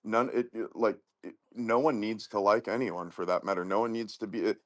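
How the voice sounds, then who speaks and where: An agreeable man talks in a casual podcast chat. His voice sits fairly low. He keeps affirming what the other speaker says. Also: fairly even intonation, brisk.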